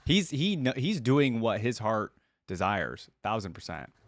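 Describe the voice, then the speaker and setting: A man's voice: on the low side, big pitch swings, measured pace, no room sound. A man hosting a reaction video with casual, conversational commentary, thinking aloud as he goes.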